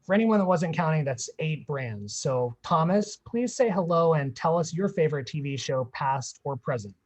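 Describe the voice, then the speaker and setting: A man's voice: on the high side, expressive, moderate pace. A man from Pittsburgh guest-hosting a video panel talk, reading upbeat prepared introductions. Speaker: guest host.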